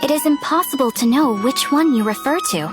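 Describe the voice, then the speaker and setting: Game guild receptionist, high voice, polite, formal, composed, measured, music behind. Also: female voice, expressive. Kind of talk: video game voice acting.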